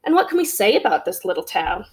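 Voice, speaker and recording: A woman's voice: mid-range voice, sing-song, fast talker, husky. A woman guiding young children through a storybook, asking simple questions as a teacher would. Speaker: teacher leading a children's read-aloud.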